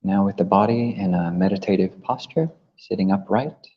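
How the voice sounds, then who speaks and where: A man leading a guided meditation as a teacher, gently instructing with long pauses between phrases. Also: deep voice. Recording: muffled, long reverberant hall echo.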